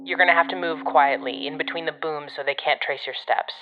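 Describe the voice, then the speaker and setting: A woman's voice: deep voice, fairly even intonation, fairly quick, dull audio, close to an everyday voice. An actress playing a brusque, sardonic woman handler in an audio drama, giving blunt, commanding guidance from a distance. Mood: wry, instructive.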